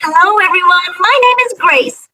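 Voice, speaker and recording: A woman's voice playing an excited, friendly little girl cartoon character in a scripted greeting, played back slightly away from the mic. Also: extremely high pitch, moderate pace, dry recording, studio quality, heavily acted. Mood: cheerful.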